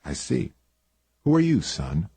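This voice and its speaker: A man's voice: on the low side, huge pitch swings, a little slow, creaky, dark tone, breathy edge, no echo, pitched above the performer's own voice, exaggerated voice. A man voicing a small-town male sheriff who asks mild, drawling questions. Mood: mild, probing.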